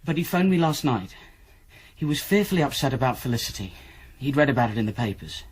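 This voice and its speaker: An actor playing a worried, loyal young British student in a radio drama, speaking earnestly. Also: male voice, medium pitch, sing-song, quick pace, slightly rough, poor audio. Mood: worried, pleading.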